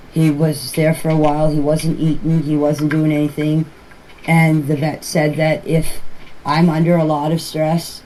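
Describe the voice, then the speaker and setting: A woman's voice: deep voice, darkish tone. Older woman, noisy voice messages. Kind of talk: informal voice messages to a group chat. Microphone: phone voice recording.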